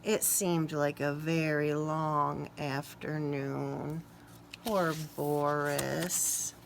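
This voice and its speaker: A woman reading a picture book aloud to young children expressively, pausing to ask them questions. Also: deep voice, level tone, gravelly, slight background noise. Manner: talks to young listeners, asking questions. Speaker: children's story reader.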